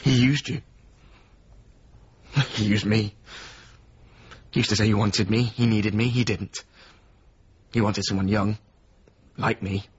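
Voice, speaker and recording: An actor playing a bitter, wounded man in a radio drama, with blunt speech and a husky voice. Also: fairly low pitch, fairly even intonation, slow, pauses often, highs cut off, full character voice. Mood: bitter, hurt. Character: bitter, blunt former companion of a painter. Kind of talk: naturalistic radio drama acting.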